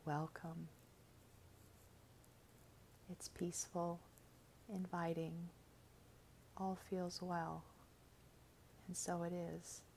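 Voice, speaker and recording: A woman reading a scripted guided meditation in a gentle, soothing, measured way, with soft, breathy phrase endings. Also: fairly low pitch, little pitch movement, slight background noise, poor audio.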